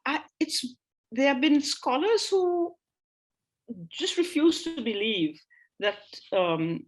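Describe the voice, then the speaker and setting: Brief: unhurried woman lecturer on a video call, mid-pitched, pausing and restarting as she thinks. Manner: thinking aloud, with frequent pauses and restarts; reads out an old text in a slower voice. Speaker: guest lecturer on language history. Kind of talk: online lecture.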